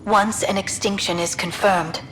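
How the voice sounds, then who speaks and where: Precise, scholarly woman, medium pitch , acted. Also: fairly even intonation, measured pace, a bit bright, slight background noise, lightly acted, poor audio. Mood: composed, scholarly. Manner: precise, academic. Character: composed, scholarly female alien archaeologist. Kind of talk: scripted lecture narration in a video game.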